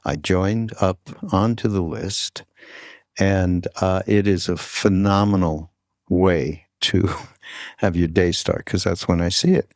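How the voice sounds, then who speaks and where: A man with a fairly low voice hosts a casual interview podcast. He talks very slowly and informally, wandering and halting as he goes. The sound is close and dry, with hardly any room on it. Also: fairly even intonation, darkish tone, no room sound. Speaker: podcast host.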